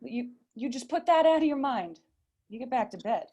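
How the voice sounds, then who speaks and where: A brazen young woman voiced by an actress in a radio drama, a little high in pitch. She is sassy and bossy, quick to mock. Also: animated, highs cut off, noisy background, natural voice. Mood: dismissive.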